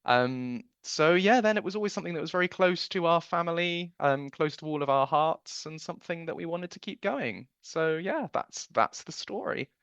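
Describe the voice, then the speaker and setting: A man's voice: on the high side, expressive, moderate pace, hardly any pauses, a bit bright, clear, resonant tone, no echo, dull audio. A man giving chatty, enthusiastic interview answers as a producer, recounting memories in a conversational way.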